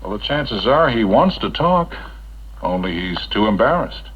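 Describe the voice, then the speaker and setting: An actor playing an earnest, kindly military chaplain in a 1960s educational film, speaking in a measured, gently probing way. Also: male voice, fairly low pitch, very animated, breathy edge, full character voice. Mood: reassuring. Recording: dull 1960s film soundtrack.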